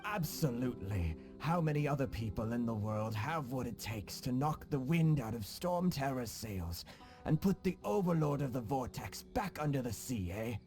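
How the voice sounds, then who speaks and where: A man voicing a genial, wily middle-aged nobleman with a courtly, unhurried manner in a video game cutscene. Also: mid-range voice, lively intonation, over music, natural voice. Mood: flattering. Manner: courtly, unhurried, slyly affable.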